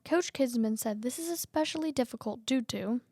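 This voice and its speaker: A young woman reporter reading scripted narration in a brisk, even way. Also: on the high side.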